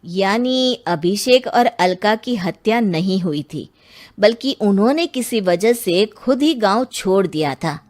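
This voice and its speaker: A woman's voice: medium pitch, expressive, slow, darkish tone. Woman voicing an earnest, formal police inspector. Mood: probing. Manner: questioning, formal, courteous. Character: earnest, businesslike male police inspector, persistent and courteous. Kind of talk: dramatized audio storytelling. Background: music.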